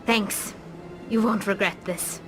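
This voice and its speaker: A woman's voice: mid-range voice, fairly even intonation, slow, natural voice, low-quality recording. A woman voicing an earnest young alien pilgrim in a video game, explaining herself formally. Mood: determined. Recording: game dialogue over loud music.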